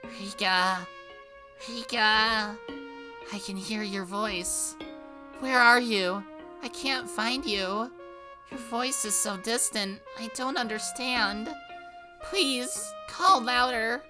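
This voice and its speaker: A woman voicing a gentle, anxious girlish deity who calls out softly and pleadingly. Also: a little high, music in the background, pitched above the performer's own voice. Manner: soft, pleading, drawn-out calls.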